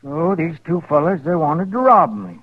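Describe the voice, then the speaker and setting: An actor playing a meek, lonely rancher with a homespun, hesitant manner and a rural Western American accent in an old radio drama. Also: male voice, fairly high pitch, big pitch swings, moderate pace, slightly rough, no echo. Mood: plaintive. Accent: rural American West. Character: folksy, lonely male rancher, meek old-West prospector. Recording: dry, muffled old radio recording.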